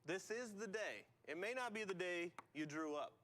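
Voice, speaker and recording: A man's voice: high voice, expressive, normal speed, fairly bright tone, a little hiss or hum. Playful man co-hosting a chat show. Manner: playful, riffing jokes. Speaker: co-host. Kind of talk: casual chat.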